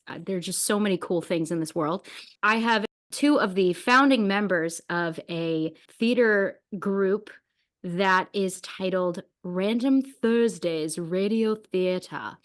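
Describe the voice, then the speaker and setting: A woman's voice: mid-range voice, a bit bright. A woman hosting a podcast in a casual, chatty interview style, with bubbly exclamations. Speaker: podcast host.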